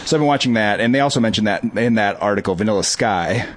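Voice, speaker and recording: A man co-hosting a podcast, talking quickly and animatedly in casual chat. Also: fairly low pitch. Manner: quick, animated, quotes people in mock voices. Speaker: podcast co-host. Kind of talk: casual chat about movies.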